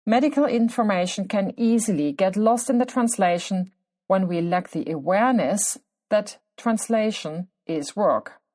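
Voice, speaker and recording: A woman with a German accent, a native German speaker who works as a clinician, hosts her own podcast. Her voice sits in a middle pitch, and she narrates from a script at a measured, deliberate pace, pausing for emphasis. She sounds middle-aged. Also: darkish tone. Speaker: podcast host.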